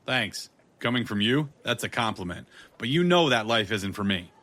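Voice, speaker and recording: Audio drama detective male actor: laconic, sardonic ex-Marine, forceful lowish voice. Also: expressive, moderate pace. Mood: wry, resigned. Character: wry, guarded young ex-Marine detective, quick with retorts.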